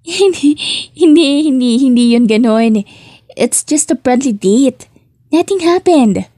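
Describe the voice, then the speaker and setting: An excitable young working woman from a romance audiobook, acted out by a woman narrator. She speaks in a raised pitch and is quick to get defensive. The recording is dry. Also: no room sound, exaggerated voice. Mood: flustered denial. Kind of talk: audiobook character dialogue.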